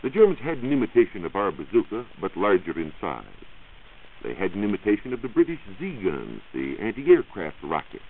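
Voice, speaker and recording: An American man giving a measured, explanatory science talk on 1940s radio as a reporter. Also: on the low side, huge pitch swings, narrow, tinny audio. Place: radio studio. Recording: hissy band-limited 1940s radio recording.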